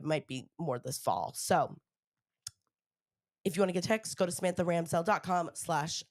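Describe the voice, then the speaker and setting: A woman with a head cold hosts a podcast in a slightly low, nasal, stuffed-up voice. She rambles casually, full of fillers and asides. The sound is dry and close to the mic. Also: no echo. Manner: chatty, rambling, lots of fillers. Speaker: podcast host. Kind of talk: casual chat.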